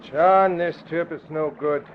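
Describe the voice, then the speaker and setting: Anxious high-voiced smuggler crewman. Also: steady tone, takes it slowly. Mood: anxious urgency. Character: nervous, doubting smuggler crewman. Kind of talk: old-time radio drama acting. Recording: dull, noisy vintage radio recording.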